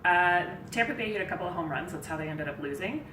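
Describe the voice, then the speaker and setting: Woman in her 30s, easygoing host, lowish voice, echoey room, camera far off. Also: fast talker. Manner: relaxed, laughs easily. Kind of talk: casual sports chat. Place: living room couch. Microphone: far camera mic. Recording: roomy, distant, hissy.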